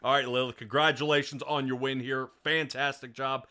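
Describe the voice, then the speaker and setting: A man's voice: medium pitch, flat delivery, normal speed, pushed and bright. A man hosting a sim racing broadcast, interviewing a driver and rambling upbeat commentary with many restarts. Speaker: race broadcast host.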